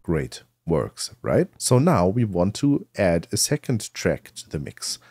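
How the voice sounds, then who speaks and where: A man presenting a software tutorial, explaining things step by step into a close microphone. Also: low-pitched, very animated, slow pace, breathy edge, dry recording.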